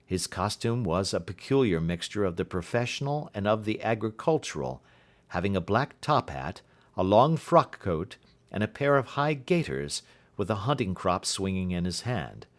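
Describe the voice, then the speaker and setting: A man's voice: fairly low pitch, very animated, normal speed. A man reading scripted literary narration as an audiobook narrator.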